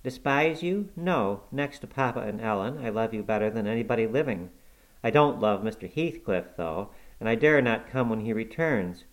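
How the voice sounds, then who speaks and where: A man voicing a gentle, eager, affectionate young lady in an audiobook, soft and coaxing. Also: on the low side, lively intonation, moderate pace, natural voice, low-quality recording. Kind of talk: audiobook character acting.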